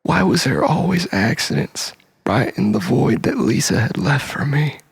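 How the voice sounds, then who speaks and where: A man playing an obsessive, bitter, unhinged jilted lover in a dramatic monologue, volatile and halting, moving between breathy whispers and outbursts. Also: medium pitch, very animated, heavily acted. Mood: brooding, anguished. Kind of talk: dramatic first-person monologue.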